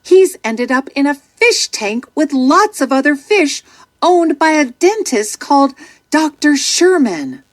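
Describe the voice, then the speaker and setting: A woman narrating a scripted children's story in an expressive, dramatic way. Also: high-pitched, slow pace.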